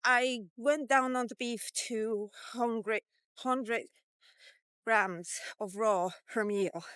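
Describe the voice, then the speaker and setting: Slow-talking woman in her fifties, smooth higher voice. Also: fairly even intonation, fairly bright tone, dry recording. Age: 50s. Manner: halting, reflective, pausing between short phrases. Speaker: vlogger. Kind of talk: casual walk-and-talk diet vlog.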